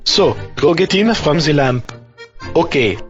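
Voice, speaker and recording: A man's voice: a little high, lively intonation, measured pace, lo-fi sound, faint music behind. A man voicing a bumbling, pompous police officer puppet with a stilted, literal-minded manner. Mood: bossy, slow-witted. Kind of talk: comic puppet show acting.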